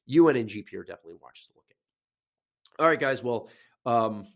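A man's voice: medium pitch, lively intonation, moderate pace, creaky. A man hosting a podcast alone, sounding nasal while getting over a sinus infection, talking casually with many fillers and restarts. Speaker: podcast host. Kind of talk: casual solo podcast talk.

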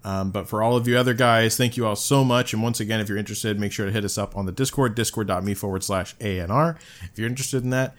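A man's voice: on the low side, steady tone, fast. A man hosting a podcast, chatting casually, rambling with lots of fillers and laughing often. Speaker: podcast host.

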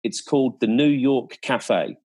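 A man's voice: mid-range voice, a little slow, darkish tone, breathy edge. A man in a casual, conversational chat, recounting his experiences as a guest in an enthusiastic, descriptive and emphatic way.

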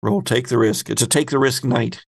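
A man hosting a tabletop horror game as game master, narrating scenes descriptively with halting pauses. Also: medium pitch, gravelly.

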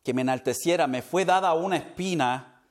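A man's voice: fairly high pitch, fairly quick. A man preaching a sermon as pastor, slipping in English words and laughing at his own stumbles.

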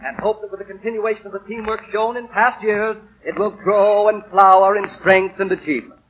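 An actor playing an earnest young employee giving a formal, declamatory speech in 1940s radio drama style. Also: male voice, high-pitched, fairly even intonation, a little fast, phone-quality sound, natural voice. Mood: solemn, grateful. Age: young adult. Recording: noisy 1940 radio broadcast.

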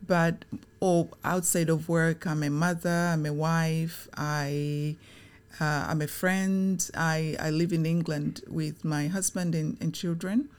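A middle-aged woman from Tanzania, answering interview questions in a reflective, unhurried way with frequent fillers and restarts. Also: low voice, steady tone, a little mellow, clean tone. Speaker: guest, chief operating officer.

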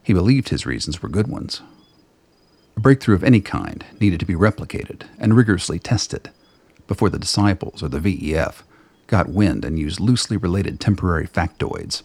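A man reading scripted dramatic narration for a radio play in a measured, literary storytelling manner, with a gravelly, husky voice. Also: low voice, darkish tone, no echo.

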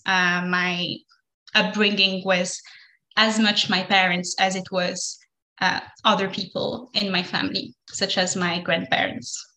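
Bright-voiced woman from Morocco. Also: medium pitch, level tone, a little slow, rough recording. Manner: thoughtful, with frequent ums and likes. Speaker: guest. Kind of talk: casual interview answers.

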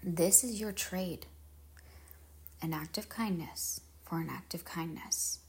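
Woman doing guided meditation, really slow and even, creaky breathy voice, solemn chanting kind of phrasing. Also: fairly low pitch. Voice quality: husky with fry, trailing into breathiness. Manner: measured, solemn incantatory phrasing with pauses.

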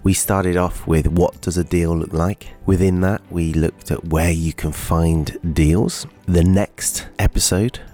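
A man hosting a solo podcast, talking in a steady advisory way while bunged up with a cold. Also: sits low, measured pace, few pauses, faint music behind. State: congested with a cold. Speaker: podcast host.

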